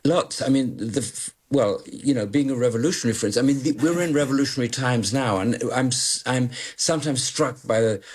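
A man's voice: medium pitch, a little fast, hardly any pauses, husky. A man in his sixties born in London, a journalist guest talking in a rambling, self-deprecating way with many restarts. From born in London, raised in Malaysia. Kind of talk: radio interview guest. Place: remote, joining from afar.

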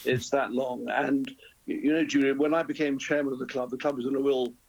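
A man's voice: medium pitch, steady tone, a little fast, gravelly, husky, low-quality recording. A man in his seventies, a guest in a live radio interview, speaking hesitantly with repeated words. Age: 70s. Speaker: interview guest, former football club chairman.

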